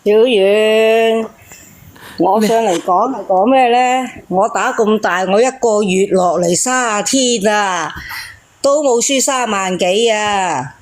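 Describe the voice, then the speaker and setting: A woman's voice: mid-range voice, slow pace. A woman calling in, arguing and challenging with pointed rhetorical questions. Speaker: caller.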